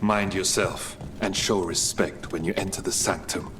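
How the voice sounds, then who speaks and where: An actor playing a stoic dwarf guardian in a fantasy game, speaking in a measured, formal and terse way. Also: male voice, low-pitched, fairly even intonation, fairly bright tone, faint music behind. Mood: grave, businesslike. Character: stoic, formal male dwarf guardian of a grim underground stronghold. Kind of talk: fantasy video game acting.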